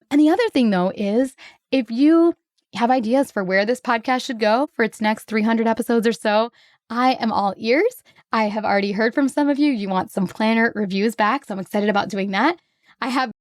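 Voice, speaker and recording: A woman hosting her own podcast solo, slightly hoarse, talking in an upbeat, grateful, chatty way. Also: a little high, dry recording, studio quality. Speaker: podcast host.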